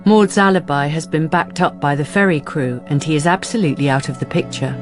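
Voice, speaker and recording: A woman narrating a radio drama as a measured, serious storyteller. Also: low-pitched, lightly acted. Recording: radio narration over clear music.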